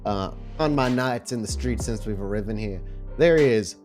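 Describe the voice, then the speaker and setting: A man's voice: lowish voice, moderate pace, a little mellow, lightly acted. Man playing a grumbling streetwise vampire henchman, music underneath. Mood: wary, scheming.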